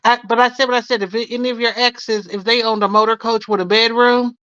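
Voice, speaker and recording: A woman's voice: a little high, steady tone, fairly quick, a bit bright, dry recording. An American woman in a casual debate, speaking colloquially and insistently as she restates her point. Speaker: panelist on a live stream. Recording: dull lo-fi stream audio.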